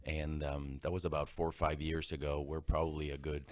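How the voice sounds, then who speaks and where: A middle-aged man from Venezuela, a surgeon answering interview questions in a casual, halting way with many fillers and restarts. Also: very deep voice, level tone, no echo. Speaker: thoracic surgeon guest.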